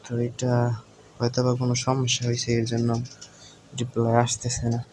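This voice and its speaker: A man with a Bangladeshi accent narrates an informal screen tutorial, explaining step by step in a halting way. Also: medium pitch, completely flat delivery. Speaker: tutorial presenter. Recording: home recording with faint background noise.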